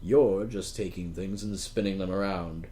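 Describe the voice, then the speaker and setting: A man's voice: low voice, steady tone, moderate pace, mellow. A man reading an audiobook in a theatrical, wry and conversational way, as if addressing the listener directly. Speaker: audiobook narrator. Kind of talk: dramatic first-person audiobook narration, conversational asides.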